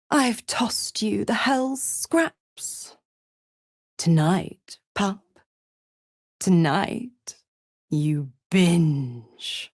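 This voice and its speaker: A gloating female devil for a video game, seductive and cruel. Her delivery is slow and theatrical, swooping widely as she purrs with mocking condescension. The recording is close and dry. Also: on the low side, darkish tone, dry recording, full character voice. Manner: purring, theatrical, condescending, savoring words. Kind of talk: scripted video game character acting.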